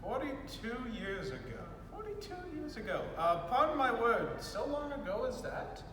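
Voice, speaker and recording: A man's voice: big pitch swings, normal speed. A man playing a flustered, courteous elderly British general in a stage play, speaking in stammering, old-fashioned formal phrases. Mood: puzzled, courteous. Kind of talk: student stage play acting. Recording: echoey hall, distant mic, faint rumbling noise.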